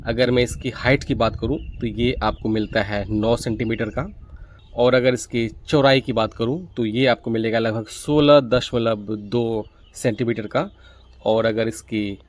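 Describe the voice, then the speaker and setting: A man hosting a gadget review, explaining things methodically, step by step. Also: mid-range voice. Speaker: gadget reviewer, video host. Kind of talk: product review walkthrough, explanatory.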